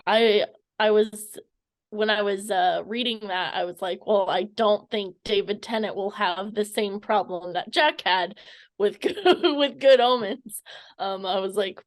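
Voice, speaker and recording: A woman's voice: medium pitch, unhurried. A woman interviewing someone casually, tentative and rambling, stumbling over her words as she goes.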